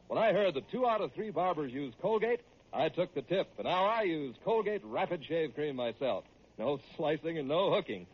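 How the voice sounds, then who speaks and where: Animated male vintage radio announcer. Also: a little high, husky. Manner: polished salesman delivery. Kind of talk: 1940s radio announcing and sponsor reads. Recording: muffled vintage radio recording.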